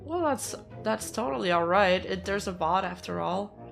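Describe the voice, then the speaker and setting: A hoarse woman streamer chatting casually and rambling with her viewers, laughing easily. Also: mid-range voice, animated, normal speed, soft background music. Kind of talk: casual livestream chat with viewers.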